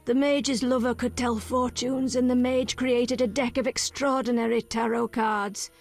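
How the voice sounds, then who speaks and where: An actress playing a solemn, cryptic female village elder in a video game, speaking in measured, archaic phrases. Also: a little high, steady tone, vocal fry, soft background music. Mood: grave storytelling.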